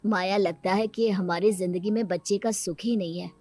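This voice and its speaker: A woman voicing a good-natured young married man, an uncle, in a cartoon moral story, earnest and gentle. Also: mid-range voice, steady tone, moderate pace, soft background music, lightly acted. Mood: downcast.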